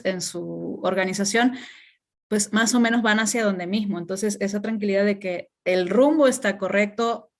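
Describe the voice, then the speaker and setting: Woman from Argentina, measured panelist. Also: mid-range voice. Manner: measured, with many fillers. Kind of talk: panel discussion.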